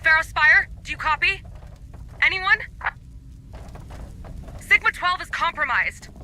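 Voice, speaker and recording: A woman's voice: extremely high pitch, expressive, slow, pushed and bright, heavily acted, poor audio. A woman playing an urgent, desperate colony administrator in a video game, pleading formally over a radio transmission. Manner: pleading, formal call sign delivery. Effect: radio distress transmission. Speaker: administrative director. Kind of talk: video game distress call acting.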